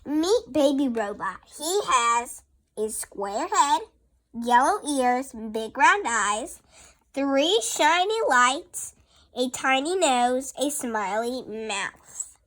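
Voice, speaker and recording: Young girl host, high voice, slow and halting storybook read-aloud. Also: expressive, a bit bright. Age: child. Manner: careful, halting word-by-word reading. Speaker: young host reading picture books aloud.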